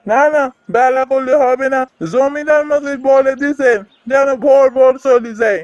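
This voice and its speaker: A woman's voice: sits high, steady tone, moderate pace, a little mellow, no echo, highs cut off, natural voice. A woman playing a kind, earnest, hard-working village husband in a fairy-tale cartoon. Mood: hurried, firm. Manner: earnest, caring. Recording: music behind the voice.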